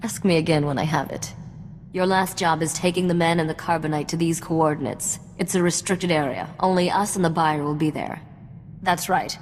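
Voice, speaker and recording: A woman's voice: low-pitched, fairly even intonation, moderate pace, big-room sound, a little hiss or hum, natural voice. A woman playing a guarded, confident young smuggler's associate in a video game, controlled and matter-of-fact. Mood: cool, businesslike.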